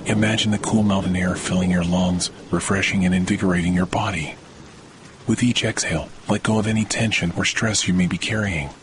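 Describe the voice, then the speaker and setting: A man narrating a guided sleep meditation in a slow, soothing, measured way with long pauses. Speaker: meditation podcast host. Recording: rain and thunder noise throughout. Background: steady rain and rumbling thunder.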